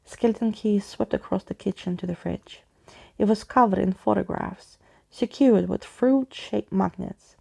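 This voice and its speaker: A woman reading a story aloud in a soft-spoken ASMR style, as a storyteller. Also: medium pitch, a little slow, gravelly, breathy edge.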